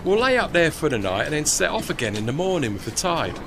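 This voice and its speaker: Chatty cockney tugboat bloke, proud and eager to please, voice swoops about, boat and water noise behind. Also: male voice, a little high, sing-song, quick pace. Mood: proud, affable. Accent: London Cockney. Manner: folksy, ungrammatical, rambling. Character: chatty, deferential working-class river tugman, proud of his trade. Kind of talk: period audio drama acting.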